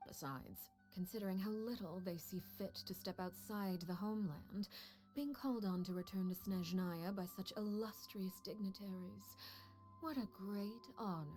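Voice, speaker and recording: An actress playing a cold, composed woman who leads a household of spies, speaking in a measured, quietly menacing way. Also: medium pitch, natural voice. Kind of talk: video game dialogue. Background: game music.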